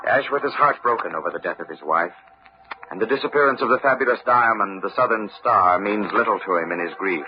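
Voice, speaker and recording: A man's voice: fairly low pitch, fairly quick, highs cut off, a little hiss or hum. A man giving scripted dramatic narration as the storyteller and announcer of an old-time radio mystery. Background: music.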